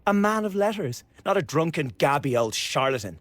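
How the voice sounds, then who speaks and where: An actor playing a spiteful, mocking young revolutionary son in a radio drama, sneering and taunting. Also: male voice, on the high side, sing-song, moderate pace, dry recording. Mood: gleefully cruel.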